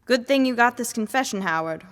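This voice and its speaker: A woman's voice: fairly high pitch, lively intonation, a little fast, fairly bright tone. A woman playing a composed, businesslike police chief in an old-time style radio drama. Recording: long reverb.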